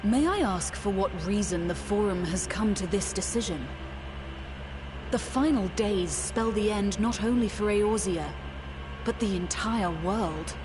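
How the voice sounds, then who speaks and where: A woman's voice: medium pitch, unhurried, lightly acted. An actress playing a gracious, solemn woman leader in a fantasy game, with formal, dignified and courteous speech. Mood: grave, composed. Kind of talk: dramatic fantasy game acting. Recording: clear background noise and ambience.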